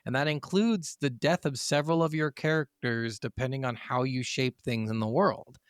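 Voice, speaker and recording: A man's voice: mid-range voice, moderate pace, clean tone, no echo, studio quality. A man co-hosting a gaming podcast, telling stories informally and swearing freely. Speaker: podcast co-host. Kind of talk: casual gaming podcast chat.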